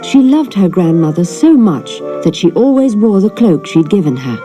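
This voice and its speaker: Animated, mellow woman reading a fairy tale. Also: mid-range voice, normal speed, faint music behind. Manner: animated storytelling. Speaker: storybook reader. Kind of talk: expressive children's fairy tale narration.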